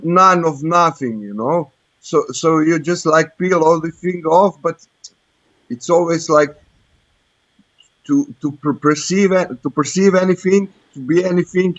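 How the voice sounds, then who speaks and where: A man's voice: on the high side, no echo. A man with a Croatian accent, a caller talking casually and hesitantly, groping for words. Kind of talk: casual, personal conversation. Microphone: internet voice call. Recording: dull lo-fi internet call.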